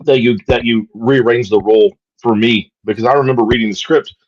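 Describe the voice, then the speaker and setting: Male actor from Texas, upbeat storyteller, mid pitch, muffled dry audio. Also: steady tone, brisk, no room sound. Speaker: actor guest. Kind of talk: casual interview chat.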